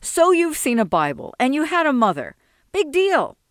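A woman voicing a sullen, defiant young man who talks rough and clipped. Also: fairly high pitch, very animated, normal speed, no room sound, studio quality, voice pushed up. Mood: scornful.

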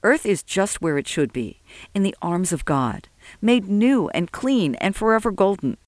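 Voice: medium pitch, sing-song, moderate pace, no room sound, voice pushed up, close to an everyday voice. Narrator doing old religious guy in an audiobook, tired, solemn, preachy, very emphatic, dry sound. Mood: reverent. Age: older. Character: weary, devout old man, solemn and urgent.

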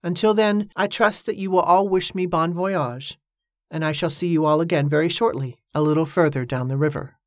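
Resolute widowed mother, steady formal delivery, low-ish woman's voice, read by the narrator. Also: normal speed, no echo, studio quality, natural voice. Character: determined young widowed mother, daredevil.

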